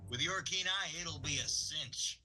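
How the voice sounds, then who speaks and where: An actor playing an earnest, boyish himbo teen gang leader in a cartoon-parody audio drama. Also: male voice, a little high, unhurried, bright, forceful tone. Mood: earnest, eager. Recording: music bed behind the voice.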